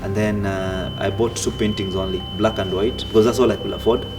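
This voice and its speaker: A man, telling his own story in a relaxed way with many fillers and restarts. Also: lowish voice, fairly quick. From Kenya. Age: 30s. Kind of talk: casual personal storytelling. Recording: distant mic with music behind.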